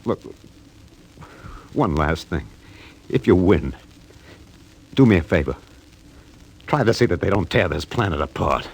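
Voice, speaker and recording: Deep-voiced idealistic renegade spaceman. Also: animated, unhurried, vocal fry, long pauses, slightly rough, heavily acted, rough recording. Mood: resigned, earnest. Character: idealistic, defiant renegade spaceman, earnest and resigned. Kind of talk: 1950s radio drama acting. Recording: vintage radio recording with faint noise.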